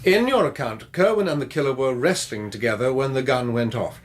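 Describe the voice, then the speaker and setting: An actor playing an earnest, methodical English police inspector in a radio drama, questioning firmly and politely. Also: male voice, mid-range voice, expressive, natural voice. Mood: firm, insistent. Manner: measured, firm questioning.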